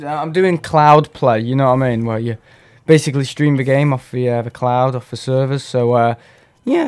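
A man hosts a live game stream with a hoarse, worn voice from a cough. He rambles on in loose, casual commentary, often stopping to clear his throat. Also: mid-range voice, a little mellow. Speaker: gaming streamer.

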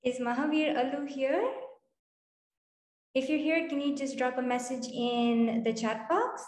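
A woman's voice: high voice, fairly even intonation, measured pace, darkish tone. A woman in her twenties cheerfully hosting an online session for children, encouraging and explaining things step by step on a video call. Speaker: host of children's online storytime. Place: indoor room with bare wall. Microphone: video call, computer microphone. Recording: roomy, echoing video call.

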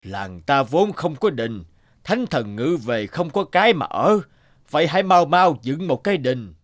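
A man's voice: on the high side, very animated, measured pace, no room sound, clean studio sound. A man playing a shrewd, righteous old village man with magical powers in a cartoon, declaiming and admonishing. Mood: calm, assured. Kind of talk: cartoon voice acting.

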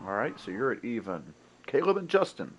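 A man's voice: medium pitch, very animated, brisk, highs cut off, a little hiss or hum. A man in his thirties with a nasal, congested voice from a cold, hosting a live golf game stream and commentating his shots in a headset. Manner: upbeat, welcoming, talks through his own shots. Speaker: stream host. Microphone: gaming headset.

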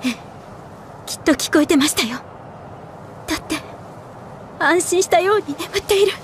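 A woman's voice: extremely high pitch, moderate pace, a little hiss or hum. An actress playing an earnest, dutiful young female soldier in an anime game, addressing a superior with deference. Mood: sorrowful. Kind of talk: Japanese anime game voice acting.

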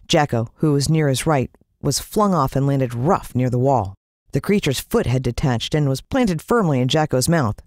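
A woman's voice: a bass voice, expressive, moderate pace, darkish tone, no room sound, studio quality. A woman with an American accent narrating a story in a dramatic, suspenseful way, drawing out words. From United States. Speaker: audiobook narrator.

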